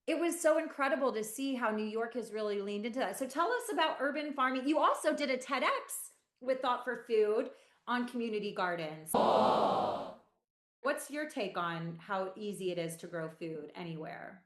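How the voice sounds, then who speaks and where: A woman's voice: fairly high pitch, lively intonation, normal speed, reverberant. A woman moderating a casual panel chat, upbeat and giggly as she leads the questions.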